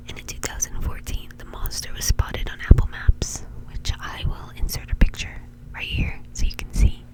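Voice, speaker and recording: A woman speaks in a breathy, unvoiced whisper throughout. She presents like an ASMR host telling a story, with informal, conversational phrasing. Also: rough recording.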